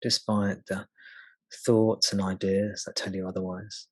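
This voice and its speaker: A man guiding a meditation as a spiritual teacher, speaking gently and unhurriedly with long pauses. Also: deep voice, level tone, no echo, rough recording. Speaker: spiritual teacher leading satsang. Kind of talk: slow guided meditation.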